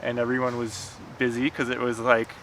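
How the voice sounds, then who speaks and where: A man chatting casually as a writer guest, in a halting way with repeated false starts. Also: medium pitch, completely flat delivery, fairly bright tone. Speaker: screenwriter guest. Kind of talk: casual chat. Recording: clearly noisy outdoor urban ambience.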